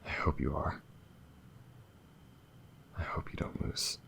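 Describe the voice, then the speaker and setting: A man playing a gentle, wistful alien lover in an intimate ASMR roleplay, speaking softly and hesitantly. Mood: wistful, hopeful. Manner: soft, hesitant, breathy pauses and sighs. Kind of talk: ASMR audio roleplay, intimate monologue to the listener.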